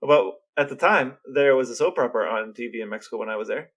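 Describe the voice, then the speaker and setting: A man's voice: mid-range voice, fast, fairly bright tone. A man chatting casually as the co-host of a podcast. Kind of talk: casual chat.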